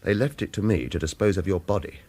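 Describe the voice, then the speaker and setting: An actor playing a weary former hitman in a radio thriller, earnest and persuasive, both arguing and narrating his own story, with a slightly husky voice. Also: deep voice, fast. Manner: urgent, insistent, pleading in dialogue, hushed in narration. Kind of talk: radio thriller acting with first-person narration.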